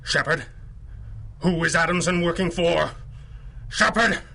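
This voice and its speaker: An actor playing a stern, commanding masked superhero in a 1930s-style radio drama, grave and authoritative. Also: male voice, on the high side, unhurried, gravelly, bright, forceful tone, exaggerated voice. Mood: urgent, grave.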